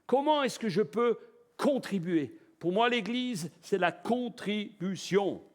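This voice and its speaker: A man preaching a live church sermon, lively, asking the congregation rhetorical questions. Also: high-pitched, slow pace. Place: church service before a congregation.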